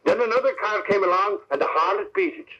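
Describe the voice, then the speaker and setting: Brash Irish reporter, high and husky, slangy, emphatic, vintage radio drama sound. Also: male voice, fast, bright, forceful tone. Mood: excited, emphatic. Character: brash Irish newspaper reporter, tough and folksy. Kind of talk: old-time radio drama acting. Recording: muffled, scratchy old radio recording.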